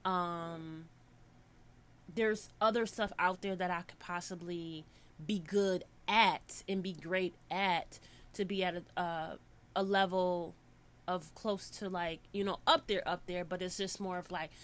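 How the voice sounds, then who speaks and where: A woman's voice: on the low side, a little slow. A woman in her thirties hosting a podcast, chatting casually and telling stories animatedly. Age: 30s. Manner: animated storyteller, swings from soft musing to loud excitement. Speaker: podcast host.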